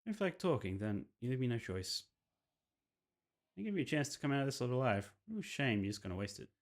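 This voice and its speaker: Cocky curse-wielding rival for a horror mystery game, read by a man: boastful, taunting, threatening, highly varied intonation. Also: animated, a little fast, natural voice. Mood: menacing. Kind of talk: visual novel dialogue read-aloud.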